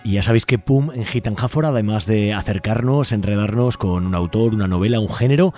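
A man's voice: fairly low pitch, fairly quick. Male radio host from Spain over music. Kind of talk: casual radio chat. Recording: music under the voice.

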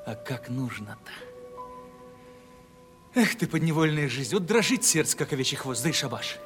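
An actor playing a timid, simple young merchant's son in love, folksy and fretful, in a Russian radio play. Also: male voice, mid-range voice, sing-song, normal speed, a bit bright. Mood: nervous, plaintive. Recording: reverberant old radio play, music behind.

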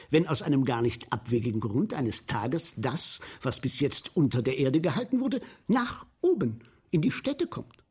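An actor in a German radio play playing a gleeful, philosophizing sewer inspector, telling his macabre tale with husky, theatrical relish. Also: fairly high pitch, darkish tone. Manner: savoring, theatrical storytelling with mimicry.

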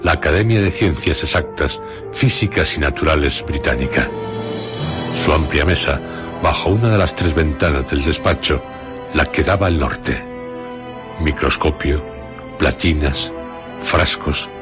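Male narrator for radio theatre: extremely low pitch, Castilian accent, measured scripted delivery over prominent music. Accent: Castilian Spanish.

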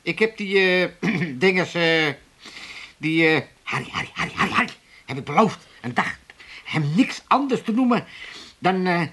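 Cranky old amsterdam father, sharp lively voice, mocks everyone, repeats himself, radio comedy. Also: high voice, moderate pace, husky, full character voice. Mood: garrulous. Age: older. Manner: mocking, repeats words for effect. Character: cantankerous, mocking old Amsterdam father, needling and sceptical. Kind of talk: comic radio play acting.

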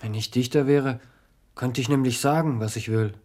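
A man's voice: medium pitch, steady tone, normal speed, dark tone, natural voice. An actor playing a naive young postman in a German radio drama, earnest, respectful and full of questions. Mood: earnest, wondering. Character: naive, eager young male postman, deferential and curious.

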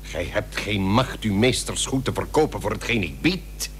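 An actor playing an imperious, threatening Barbary potentate in a period radio drama, with commanding, archaic formal speech. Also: male voice, lowish voice, big pitch swings, normal speed. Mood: haughty, haggling. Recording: noisy old radio recording with hum.

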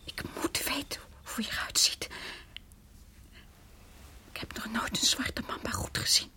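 A woman's voice: measured pace, sharp tone, whispered. A woman acting in a radio play as an anxious, insistent wife who falters and repeats herself. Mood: frightened, hushed. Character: frightened wife, frozen still, anxiously insistent.

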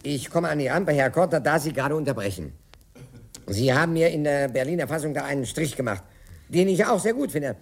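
An older man telling a theatrical anecdote, acting out the dialogue with dramatic pauses. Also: a little high, animated, gravelly, slight background noise.

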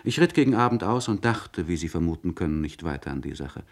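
Deep-voiced, solemn nobleman. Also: animated. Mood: haunted. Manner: solemn, searching, elevated diction with deliberate phrasing. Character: anguished, articulate nobleman confiding by letter to a friend. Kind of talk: literary radio play monologue.

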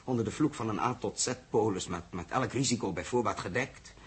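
An actor playing a brooding, philosophical young male crewman in a 1960s radio drama, with a husky voice. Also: on the low side, brisk, close to an everyday voice. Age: young adult.

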